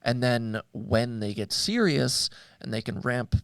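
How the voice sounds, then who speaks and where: A man's voice: mid-range voice, lively intonation, unhurried, creaky, clean tone, dry recording. A man co-hosting a show in casual discussion, speaking hesitantly and thoughtfully as he searches for words. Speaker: co-host of a media show.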